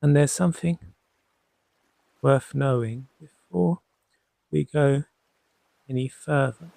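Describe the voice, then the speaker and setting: A man guiding a sleep hypnosis session as a hypnotherapist, soothing and gently reassuring, leaving long pauses between short phrases. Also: mid-range voice, vocal fry, no room sound. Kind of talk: guided sleep hypnosis narration.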